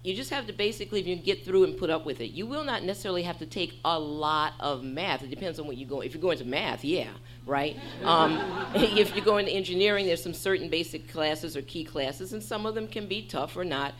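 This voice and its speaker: A woman faculty member speaking on a panel, reassuring students in a lively, animated way. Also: lowish voice, brisk, few pauses, background noise. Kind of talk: panel discussion.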